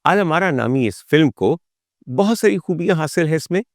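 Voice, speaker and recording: A man hosting a talk, telling stories dramatically and rhetorically, with deliberate build-ups and repeated phrases. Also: a little high, animated, no echo, studio quality. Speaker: host and storyteller of a music history talk. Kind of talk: dramatic spoken storytelling to the audience.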